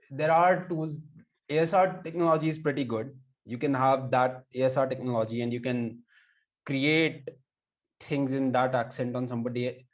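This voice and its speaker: A man speaking as a guest researcher on a video call, answering questions in a halting way with frequent restarts. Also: medium pitch, poor audio. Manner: halting, restarts sentences, gets livelier when amused. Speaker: guest, deep learning researcher. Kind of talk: casual interview answers.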